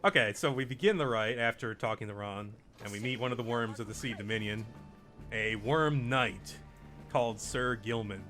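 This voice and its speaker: A man's voice: medium pitch, moderate pace, pushed and bright, soft background music. A man giving casual commentary as he hosts a video game playthrough. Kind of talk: casual let's play commentary.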